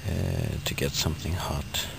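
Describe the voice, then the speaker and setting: A man's voice: extremely low pitch, steady tone, measured pace, creaky, in a whisper. A young man vlogging from a forest camp on a handheld camera, talking hesitantly with many ums and uhs. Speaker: bushcraft challenge participant. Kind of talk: casual camp vlog. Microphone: handheld camera, self-filmed. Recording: hissy outdoor camera audio. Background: loud hiss of outdoor noise.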